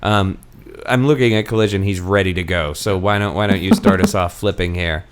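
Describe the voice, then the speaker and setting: A man's voice: low-pitched, brisk, slight background noise. A man hosting a podcast, chatting casually about books in a jokey, irreverent way and often laughing through his sentences. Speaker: podcast host. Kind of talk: casual comic book-discussion chat.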